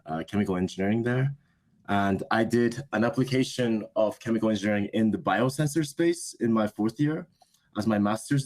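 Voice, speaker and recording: A man's voice: fairly low pitch, a little mellow. A young man whose first language is Japanese, answering interview questions in a thoughtful, hesitant way that often corrects itself. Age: young adult. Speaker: interview guest, business school student.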